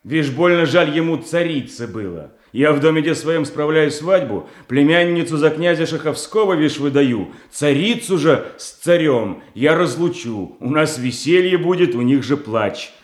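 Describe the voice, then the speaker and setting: An actor playing a calculating boyar prince who urges on his fellow plotters, deliberate and declamatory in a classic Russian stage tragedy style. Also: a little high, animated, close to an everyday voice. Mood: wry, confiding. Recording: roomy stage-like echo.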